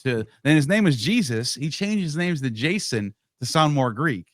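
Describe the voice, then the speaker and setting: A man's voice: on the high side, expressive, normal speed, dry recording, clean studio sound. A man in a casual podcast discussion, thinking aloud in bursts with frequent restarts. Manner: talks in bursts with many restarts. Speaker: podcast co-host or guest.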